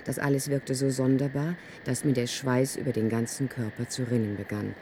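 A man's voice: medium pitch, little pitch movement, moderate pace, noisy background, natural voice. An actor in a German radio play, playing an uneasy, worried man. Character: uneasy, worried man who senses something is wrong.

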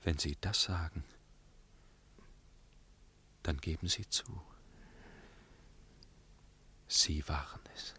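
An actor playing a tormented, lovelorn gentleman torn by conscience, speaking formally and earnestly in a radio drama. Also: a bass voice, steady tone, takes it slowly, whispered, exaggerated voice. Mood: pressing, tense. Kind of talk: German radio drama acting, narration and dialogue.

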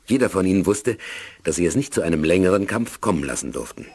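A man's voice: low-pitched, animated, quick pace, gravelly, a little mellow, slight background noise. A man narrating a scripted radio play in a storytelling role.

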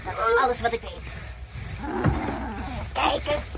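An actress playing a courteous, secretive talking wolf, a loyal servant, in a radio drama. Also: female voice, on the high side, lively intonation. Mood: matter-of-fact. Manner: polite, measured, secretive. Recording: hissy, noisy old radio play.

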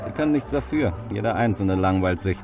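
An actor playing a bored, worldly, genial drinker with a laconic manner in an old radio drama. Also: male voice, lowish voice, lively intonation, brisk, over music. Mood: world-weary. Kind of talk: 1960s German radio drama acting.

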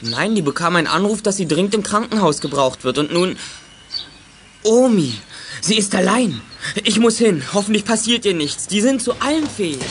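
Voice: mid-range voice, lively intonation, moderate pace, a little hiss or hum, close to an everyday voice. An actor playing a frantic, worried boy who speaks urgently in a children's radio play. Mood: anxious. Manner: urgent, agitated. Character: frantic, worried boy fearing for his granny. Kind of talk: 1980s German children's radio play acting.

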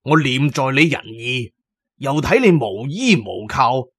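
A man's voice: medium pitch, very animated, a little slow, a bit bright, husky, heavily acted. A man voicing a coarse, domineering warlord officer in a Cantonese audio drama. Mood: gruff approval. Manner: coarse, commanding. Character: gruff, domineering warlord officer, grown man, magnanimous but brutal.

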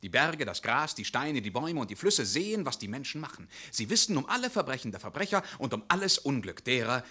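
An earnest, courteous knight errant, in a theatrical radio play. The voice is bright and husky, swinging widely in pitch as he speaks with deliberate resolve. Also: big pitch swings, exaggerated voice. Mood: solemn, resolute.

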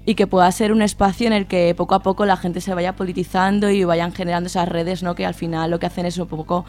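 A woman's voice: lowish voice, level tone, fast talker, darkish tone, slight background noise. A woman with an accent from Spain, an activist guest explaining things conversationally on radio, often checking in with tag questions. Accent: Spanish from Spain. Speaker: interview guest, neighbourhood activist.

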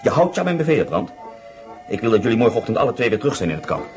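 An actor playing a stern, scheming SS captain who gives curt commands in a radio play. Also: male voice, fairly low pitch, sing-song, racing, faint music behind. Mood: commanding. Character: stern, scheming middle-aged male SS captain. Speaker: German prisoner-of-war officer. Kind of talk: radio drama acting.

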